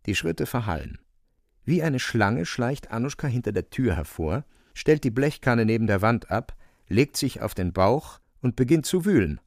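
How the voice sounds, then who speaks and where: A man's voice: mid-range voice, very animated, normal speed, darkish tone, no echo, studio quality. A man narrating a literary radio play with vivid, suspenseful storytelling.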